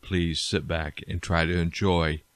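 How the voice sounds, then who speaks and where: A man hosting a casual podcast over a rough internet-call connection. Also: low voice, little pitch movement, unhurried, no echo. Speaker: podcast host. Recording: poor internet-call audio, faint music.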